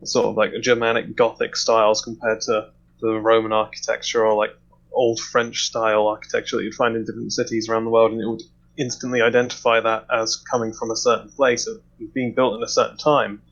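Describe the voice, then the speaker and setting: A man explaining his thoughts in a measured way in a casual discussion, recorded over a lo-fi voice chat. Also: fairly low pitch, little pitch movement, gravelly.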